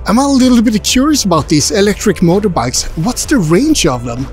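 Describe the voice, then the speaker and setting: A man's voice: sits high, big pitch swings, fairly quick, faint music behind. A man in his forties vlogging in a busy indoor car expo hall, chatty and upbeat, asking visitors questions through a handheld mic. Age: 40s. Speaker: travel vlogger. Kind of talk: casual vlog with voice-over narration. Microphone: handheld furry mic close to the face.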